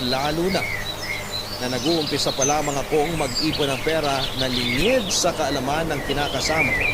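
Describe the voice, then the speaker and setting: A man with a fairly high voice reads a personal letter as a radio host. He narrates it in the first person as a dramatic story, with loud music underneath. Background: loud background music.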